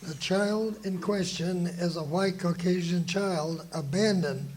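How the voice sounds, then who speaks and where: An actor playing a stern, prejudiced old-time lawyer, formally and deliberately declaiming in a radio play with faint noise. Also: male voice, high voice, a little mellow, rough recording. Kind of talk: formal radio drama oratory. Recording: faint noise, live performance.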